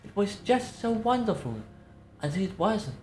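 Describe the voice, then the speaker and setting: An elderly, wistful matriarch, played by a woman tells her memories in a folksy, dramatic way, as in a reading from a game world. Her mid-pitched voice rings in a roomy space, with faint music underneath. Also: very animated, moderate pace, a little mellow, natural voice. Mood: wistful, fond. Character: elderly matriarch recounting a tragic night, wistful storyteller. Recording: roomy echo.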